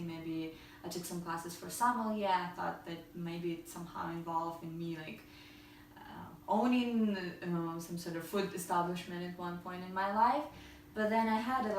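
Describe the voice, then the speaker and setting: A woman's voice: lowish voice, a little hiss or hum. A woman from Ukraine, a guest chatting casually and thoughtfully about her work and life. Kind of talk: casual interview chat. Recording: echoey room, voice from far off.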